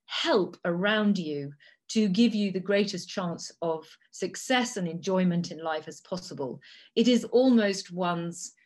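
A middle-aged woman raised in Italy, a native Italian speaker, giving a measured talk with frequent fillers. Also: on the low side, a little mellow. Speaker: guest speaker. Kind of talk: prepared talk.

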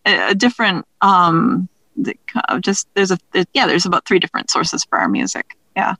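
A woman speaking as an interview guest in casual conversation, thinking aloud in a halting way. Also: on the low side, sing-song, bright, forceful tone, no room sound. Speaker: guest, audio drama creator.

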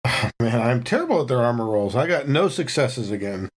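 A man's voice: mid-range voice, sing-song, brisk, husky. A man chatting casually as a player in a tabletop roleplaying game.

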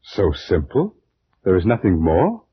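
An actor playing an eager, easily awed ancient Egyptian priest in theatrical 1940s radio drama style. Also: male voice, sits low, wildly swooping pitch, unhurried, slightly rough, full character voice. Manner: theatrical, exclamatory. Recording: noisy tinny 1940s radio recording.

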